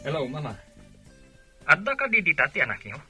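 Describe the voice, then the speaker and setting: A man's voice: a little high, huge pitch swings, brisk, pushed and bright, soft background music, full character voice. A man with an Ilocano Filipino accent acting a suspicious, jealous husband in a radio drama. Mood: stern.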